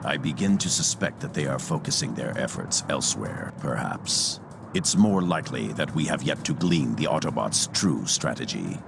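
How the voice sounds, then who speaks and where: An actor playing a cold, calculating alien robot scientist and commander in a video game, with gravelly, clipped, formal and haughty speech. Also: male voice, rumbling low, animated, normal speed, noisy background, heavily acted. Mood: cold, analytical. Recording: game audio under loud music.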